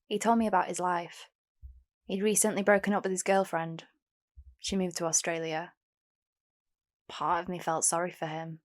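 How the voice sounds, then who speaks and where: A woman's voice: fairly low pitch, flat delivery, moderate pace, long pauses, close to an everyday voice. A young woman playing a guarded but hopeful homeless singer in an audio drama, candid and plain-spoken. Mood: reflective, candid. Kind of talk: first-person audio drama narration and dialogue.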